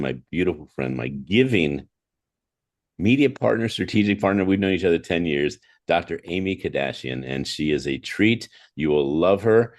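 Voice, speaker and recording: Very deep-voiced male talk show host. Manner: effusive, repeats phrases for emphasis. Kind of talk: conversational interview hosting.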